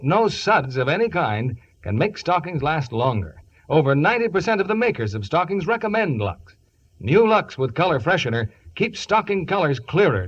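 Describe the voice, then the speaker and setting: A man's voice: fairly high pitch, husky. A man announcing scripted 1950s radio sponsor copy. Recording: dull old radio broadcast recording.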